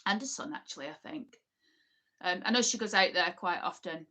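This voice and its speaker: A woman's voice: on the low side, brisk, pushed and bright. A woman with an Oldham accent, hosting a casual livestream and rambling chattily while reading viewers' comments. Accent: Oldham, northern English. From England. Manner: chatty, rambling, many ums and asides. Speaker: livestream host.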